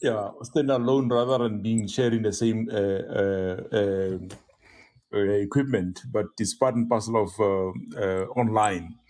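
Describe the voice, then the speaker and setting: A man on an interview panel asking a long, rambling question, halting on repeated fillers. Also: on the low side, darkish tone.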